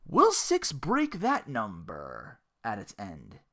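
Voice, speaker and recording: A man's voice: fairly low pitch, huge pitch swings, slow, pushed and bright. A man hosting a gaming podcast in a casual, rambling, slangy monologue, often acting out imagined quotes. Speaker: podcast host.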